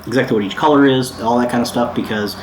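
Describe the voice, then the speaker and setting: A man's voice: mid-range voice, fast talker. A man talking casually and informally as he hosts a hands-on DIY electronics tutorial, easygoing and a little self-deprecating. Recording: echoey room, some background noise.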